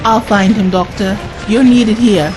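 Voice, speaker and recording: A woman's voice: mid-range voice, a little slow, close to an everyday voice, rough recording. A woman playing a kind, reassuring young time-travelling companion in an audio drama. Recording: music under the voice.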